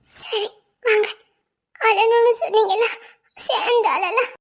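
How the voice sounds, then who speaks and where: A woman acting a tender young woman in love, soft and tearful, in a romantic drama. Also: extremely high pitch, fairly even intonation, slow pace. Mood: concerned, tearful.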